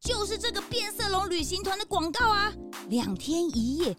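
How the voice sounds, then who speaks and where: 一位女演員在兒童推理廣播劇中扮演興奮、愛撒嬌央求的年輕小助手，語氣誇張熱切。. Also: female voice, very high-pitched, big pitch swings, moderate pace, over music. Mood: excited, coaxing. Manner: gushing, exclamatory, pleading. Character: excitable young assistant Peanut, eager and coaxing. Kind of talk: children's detective audio drama acting.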